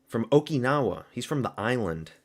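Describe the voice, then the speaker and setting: A man's voice: medium pitch, very animated, moderate pace. A man from the American South hosting a fight watch party stream, talking loosely and profanely with his viewers. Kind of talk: casual live-stream commentary.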